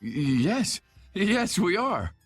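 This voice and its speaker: A man voicing a polite, anxious, flustered father in a video game, speaking haltingly. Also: high voice, very animated, heavily acted. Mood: worried. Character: grateful, flustered father stranded in desert. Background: background music.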